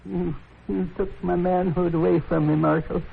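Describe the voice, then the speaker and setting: A man's voice: high-pitched, level tone, normal speed, creaky, slightly rough, full character voice. A man playing a proud, bitter old American plainsman in a radio western, speaking in an aggrieved, defiant, folksy way. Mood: bitter accusation. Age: older. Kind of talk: 1950s radio western acting. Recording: tinny, noisy 1950s radio recording.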